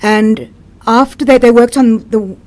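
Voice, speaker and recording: A woman's voice: on the high side, fairly even intonation, a little slow, a little mellow. A middle-aged woman with a South African accent, speaking as an interview guest and telling her own story conversationally.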